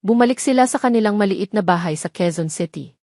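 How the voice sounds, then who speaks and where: A woman narrates a dramatic audio story from a script. Her voice sits at a medium pitch, and the recording is dry with very little room sound. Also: animated, a little fast, a little mellow, dry recording.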